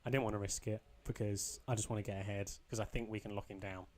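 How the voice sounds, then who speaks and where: Young guy a bit croaky, lowish voice, rambly chill game commentary, lots of ums. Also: fast. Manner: relaxed, rambling, frequent ums and restarts. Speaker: gaming channel host. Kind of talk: casual gameplay commentary.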